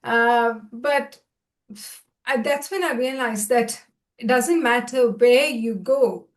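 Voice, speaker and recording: A woman's voice: a little high, slow pace. Chatty middle-aged woman from India. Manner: chatty, laughs easily, self-deprecating. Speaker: guest. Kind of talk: conversational storytelling.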